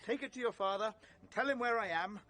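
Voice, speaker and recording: An actor playing a hapless, excitable young British gentleman in a vintage radio drama, theatrical and self-pitying with genteel old-fashioned exclamations. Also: sits high, fast talker. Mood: desperate, indignant.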